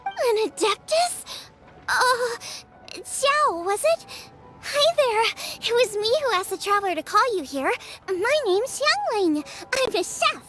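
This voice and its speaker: A cheerful, earnest young girl chef in a video game. The girlish voice is extremely high and lively, eager and expressive, with music clearly playing behind. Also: takes it slowly, exaggerated voice. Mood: shy, friendly.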